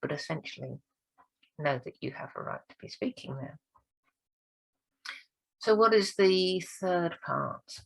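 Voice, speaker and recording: A woman speaking coach giving an encouraging training talk, her voice croaky and hoarse this week. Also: lowish voice, lively intonation, a little slow, pauses often, poor audio. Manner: encouraging, earnest. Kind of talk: direct-to-camera training talk.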